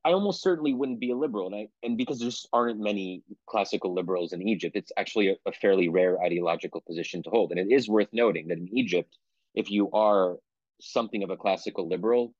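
A man's voice: on the low side, quick pace, darkish tone, no echo. Man, author guest, thoughtful, restarts often, dry lo-fi sound. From United States (Pennsylvania). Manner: thoughtful, self-qualifying, frequent restarts. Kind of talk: conversational interview answer.

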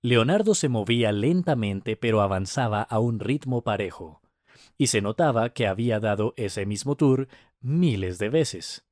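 A man narrating a scripted story for language learners, with careful, deliberate enunciation. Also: lowish voice, expressive, darkish tone, no echo, clean studio sound.

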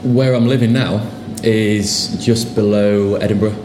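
Young English man, southern English accent, low voice, easygoing interview talk, echoey noisy bar. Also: measured pace. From England. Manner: relaxed, chatty, repeats words as he talks. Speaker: guest sign writer. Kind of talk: casual interview chat. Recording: echoey bar with loud noise.

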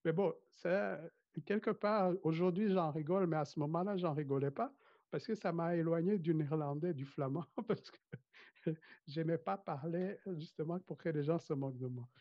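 A man's voice: fairly high pitch, normal speed. A man from Rwanda who grew up speaking Kinyarwanda, talking casually as an interview guest, hesitant, with many restarts and frequent laughs. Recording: dull lo-fi sound.

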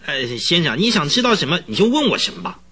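An actor in a radio drama playing an excitable, easily frightened horse trainer who tells things in a husky, rambling, dramatic way. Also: male voice, a little high, fast, crowd noise. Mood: puzzled, chatty. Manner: rambling, dramatic storytelling full of interjections.

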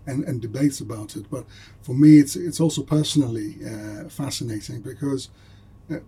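A man's voice: medium pitch, moderate pace, dark tone, husky. A man whose first language is German, speaking English in a hesitant way with many fillers, chatting with colleagues in an academic meeting. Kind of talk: casual academic discussion.

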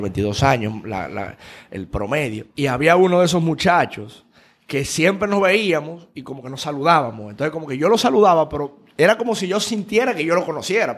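Dominican guy, youngish, just chatting and telling travel stories, normal mid voice. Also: mid-range voice. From Dominican Republic. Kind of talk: casual conversational storytelling.

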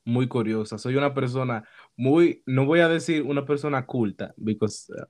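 A man's voice: mid-range voice, normal speed. A man hosting a casual podcast interview, playful and teasing, slipping English words into his Spanish. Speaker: podcast host.

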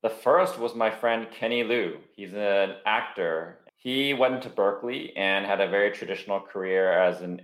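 A man hosts a podcast interview in a relaxed, conversational manner, his voice sitting fairly low. He records remotely from a room with noticeable echo around him. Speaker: podcast host.

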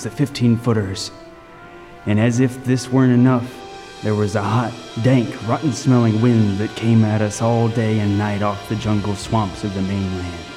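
A man playing a lighthouse keeper, narrating a radio drama in a brooding, lyrical, deliberate way with dramatic emphasis. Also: medium pitch, mellow, close to an everyday voice. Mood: ominous. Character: world-weary, vivid storytelling lighthouse keeper, male. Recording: long reverb, music behind.

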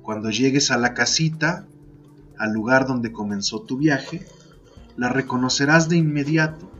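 A man narrating a literary fantasy poem as a podcast host, in a measured, deliberate storytelling style. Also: mid-range voice, fairly bright tone, muffled recording. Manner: measured, deliberate, second-person storytelling delivery. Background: music.

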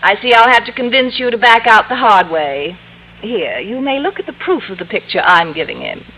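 An actress playing a vain, catty rival schoolteacher in an old-time radio sitcom, with an affected, sugary, condescending manner. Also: female voice, fairly high pitch, very animated, a little fast, sharp tone, slight background noise, full character voice, rough recording. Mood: catty, syrupy.

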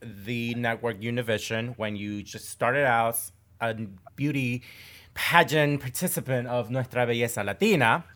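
A man's voice: medium pitch, steady tone, a little slow, fairly bright tone. A man hosting a podcast interview, chatty and enthusiastic. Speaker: podcast host. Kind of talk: casual interview conversation.

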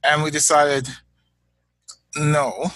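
A Canadian man and father of young children, talking as a guest in a reflective interview, measured and halting, often repeating words as he thinks. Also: a little high, level tone, a bit bright, dry recording.

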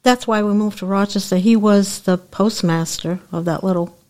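A woman's voice: medium pitch, moderate pace, a little mellow. An older woman from New Hampshire, a podcast guest recalling her childhood in plain, brief answers. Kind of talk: reminiscing in conversation.

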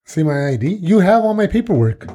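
A man co-hosts a casual podcast, teasing and repeating his lines. His voice is dark and mellow with a husky edge. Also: high voice, big pitch swings, brisk. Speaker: podcast co-host.

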